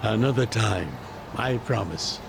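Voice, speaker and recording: An actor voicing a calm, fatherly middle-aged yakuza boss, measured and gently admonishing, in an English-dubbed game. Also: lowish voice, gravelly, background noise.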